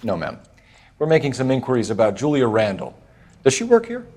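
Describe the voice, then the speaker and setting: A man's voice: mid-range voice, wildly swooping pitch, brisk, darkish tone. An actor playing a firm, probing American police detective in a video game, clipped and formal in his questioning. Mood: businesslike. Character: firm, probing male 1940s Los Angeles police detective. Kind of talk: video game cutscene acting. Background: music.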